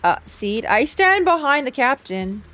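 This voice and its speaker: A woman's voice: high voice, big pitch swings, measured pace, bright, forceful tone, faint music behind. A woman streamer reacting to a video game in a casual, informal and sarcastic way, sometimes reading text aloud.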